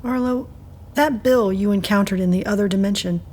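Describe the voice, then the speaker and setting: A woman's voice: medium pitch, moderate pace, darkish tone, close to an everyday voice. A woman playing a lonely, dejected, sympathetic farm resident in an audio drama, subdued and confiding. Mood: concerned. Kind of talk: scripted audio drama acting.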